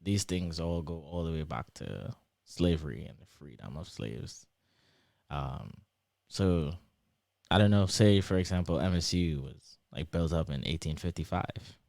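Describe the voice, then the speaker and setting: A young man whose Trinidadian accent drifts toward American, a guest explaining things in a lively, casual way with lots of examples and fillers. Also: sits low, unhurried, gravelly, no room sound, clean studio sound. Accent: Trinidadian shifting toward American. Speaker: interview guest, PhD student.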